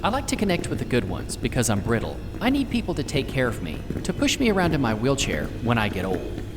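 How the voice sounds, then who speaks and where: A woman's voice: very deep voice, huge pitch swings, in a large hall, over music, full character voice. Woman voicing a joking older male commander. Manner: playful, teasing, quick banter.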